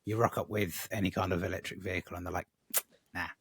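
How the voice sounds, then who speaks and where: Husky low-voiced English host. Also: male voice, quick pace, no echo. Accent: British. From England. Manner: animated, jokey. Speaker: podcast co-host. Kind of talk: casual chat.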